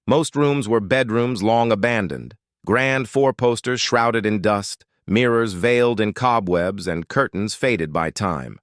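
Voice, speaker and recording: A British man narrating a scripted bedtime fairytale in a gentle, soothing, measured way. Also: on the low side, no echo, clean studio sound.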